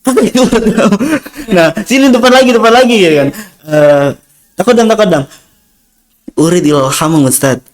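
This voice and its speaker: A man's voice: high voice, moderate pace, long pauses. Chatty young Indonesian man. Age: young adult. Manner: rambling with many fillers, acts out dialogue. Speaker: interview guest, student. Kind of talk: casual storytelling interview.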